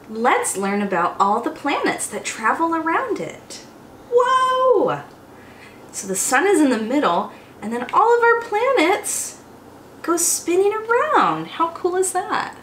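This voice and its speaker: A young woman hosting storytime as a teacher, reading aloud to small children in an expressive, exclamatory way. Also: high-pitched, slow pace. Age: young adult. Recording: roomy echo, faint background noise.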